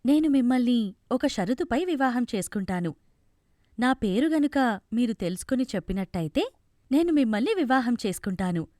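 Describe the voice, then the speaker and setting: A woman's voice: fairly high pitch, lively intonation, moderate pace, warm tone, dry recording, studio quality, close to an everyday voice. A woman voicing a young, guarded fairy who speaks formally and insistently in a storybook tale. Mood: firm. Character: young fairy woman, guarded, setting firm conditions for marriage.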